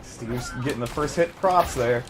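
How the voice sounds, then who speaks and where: A man's voice: medium pitch, moderate pace. A man hosting and giving casual live commentary on a fighting game stream. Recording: clear background noise.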